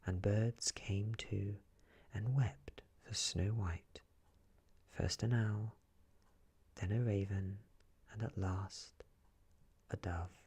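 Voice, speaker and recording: Very husky, hushed male ASMR storyteller. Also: fairly low pitch, steady tone, extremely slow, pauses often. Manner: gentle, hushed, often slipping into whisper. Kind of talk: soft-spoken ASMR fairy tale reading.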